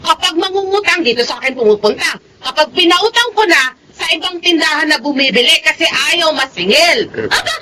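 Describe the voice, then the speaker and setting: A woman's voice: sits high, lively intonation, brisk, a little hiss or hum. A woman playing a hot-tempered storekeeper who nags and scolds over debts in a Filipino radio drama. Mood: scolding, irritated. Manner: nagging, sharp-tongued scolding.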